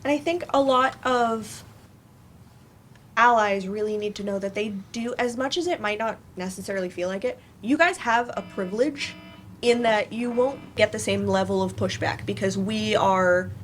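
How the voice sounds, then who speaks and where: Woman panelist with medium-pitched voice. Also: moderate pace, a little hiss or hum. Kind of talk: conversational panel discussion.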